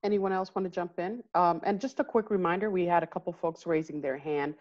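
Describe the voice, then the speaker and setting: A woman moderating a video call panel, prompting the panelists politely and briskly. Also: fairly low pitch, darkish tone. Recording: dull muffled call audio.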